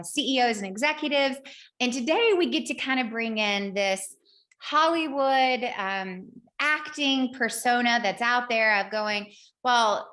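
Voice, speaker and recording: A woman's voice: fairly high pitch, pushed and bright. A woman co-hosting a podcast, chatting in an effusive, gushing way as she introduces and interviews. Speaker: podcast co-host.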